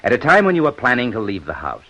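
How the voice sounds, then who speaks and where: An actor playing a methodical, shrewd police inspector in a 1950s radio detective drama, with deliberate, probing questions on an old radio recording. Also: male voice, medium pitch, wildly swooping pitch, a bit bright, lo-fi sound. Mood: shrewd, musing.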